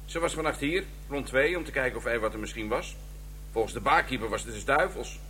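A man's voice: mid-range voice, lively intonation, fairly quick, bright, forceful tone, a little hiss or hum. A man playing a streetwise police detective in a Dutch radio crime drama, reporting in a blunt, colloquial way. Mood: blunt, informative.

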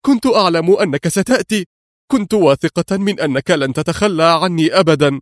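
A man voicing an anxious, eager young woman in a spoken story, exclaiming excitedly. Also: on the high side, fairly quick, no room sound, studio quality, voice pushed up, close to an everyday voice. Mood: relieved eager.

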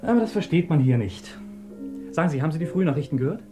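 A man's voice: a little high, animated, quick pace, over music, lightly acted. An actor playing an eager, talkative male assistant in a German radio play, chatty and ingratiating. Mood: chatty, opinionated. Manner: chatty, ingratiating, deferential to his superior. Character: eager, talkative pro-Soviet male assistant at a research institute.

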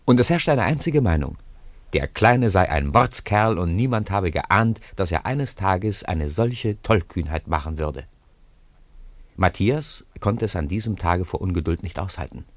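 A man narrates a 1950s radio play with a very deep voice. His intonation rises and falls vividly. The recording is dry and close. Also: unhurried, no echo. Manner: expressive storytelling with lively intonation.

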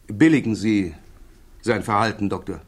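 An actor playing a formal, relentless prosecuting counsel who presses methodically at a security hearing, in 1960s German radio drama style. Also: male voice, lowish voice, moderate pace, breathy edge. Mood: formal, pressing.

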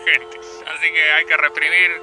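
A man with an Argentine accent playing a muddled, bossy dispatcher in a radio comedy sketch, halting and repetitive over vehicle noise. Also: fairly high pitch, little pitch movement, music in the background, close to an everyday voice. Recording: noisy vehicle and street ambience.

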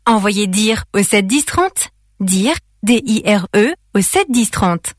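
A woman reading a radio ad voice-over as a brisk, teasing sales pitch. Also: animated, no echo, clean studio sound.

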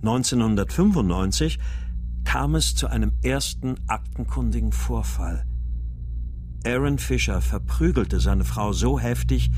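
An actor playing an exhausted, despairing father, narrating a radio crime drama in a brooding, measured way. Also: on the low side. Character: despairing, exhausted father searching for his abducted daughter.

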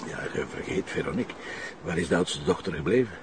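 A man's voice: sits low, expressive, fast talker. A man with a Flemish accent playing a wry, grumbling police commissioner with dry delivery in an audio drama. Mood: pensive, dry. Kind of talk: naturalistic audio drama dialogue. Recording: faint background noise.

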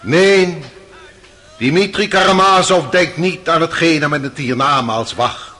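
High, forceful male courtroom prosecutor. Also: a little slow. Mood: forceful, accusing. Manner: rhetorical, declamatory, solemn. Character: stern, accusing male prosecutor in a Russian murder trial. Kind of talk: theatrical radio play courtroom oratory.

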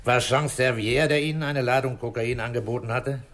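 An actor playing a calm, authoritative police inspector in a 1960s German radio crime drama, measured and commanding as he probes. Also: mid-range voice, slight background noise, lightly acted. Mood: firm questioning.

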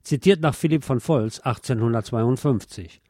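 A man reading a political essay aloud in a measured, formal, emphatic manner. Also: medium pitch, darkish tone, dry recording, studio quality. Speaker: reader of an article. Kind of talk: read-aloud political essay, scripted narration.

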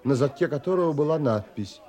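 An actor playing a wistful romantic man who narrates his longings in a measured, contemplative and expressive way, as in a literary audio play. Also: medium pitch, natural voice. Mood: wistful, stirred.

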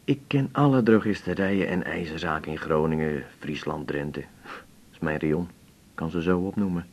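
An actor playing a weary, gullible travelling salesman in his fifties, speaking hesitantly and plainly in a radio drama, with a husky voice. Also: a bass voice, darkish tone, highs cut off. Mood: weary, confiding. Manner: hesitant, plain-spoken, halting short sentences. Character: gullible, weary 56-year-old male travelling glue salesman. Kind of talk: naturalistic radio drama acting.

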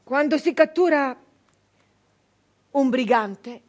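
An actress playing a captured nineteenth-century brigand woman, wounded, bitter and doomed, in an intense, halting theatrical monologue. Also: sits high. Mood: bitter, indignant. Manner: intense, halting delivery with repeated phrases.

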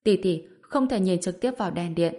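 A woman's voice: fairly low pitch, animated, moderate pace, dark tone. Woman acting eager, admiring young village wife. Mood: excited, helpful.

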